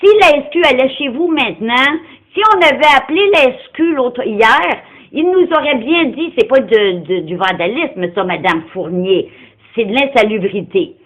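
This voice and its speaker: A woman's voice: fairly high pitch, expressive, normal speed. A non-francophone woman speaking Quebec French, arguing insistently and confrontationally on a phone call, often cutting in. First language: not French, non-francophone. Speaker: caller confronting someone over animal welfare. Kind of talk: argumentative phone conversation.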